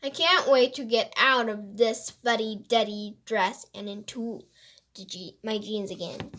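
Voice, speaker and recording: A mischievous woman writer from a children's mystery, as voiced by a woman reader. Her voice is on the high side, and she explains herself with playful, animated emphasis. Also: a little slow, a little hiss or hum. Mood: relieved.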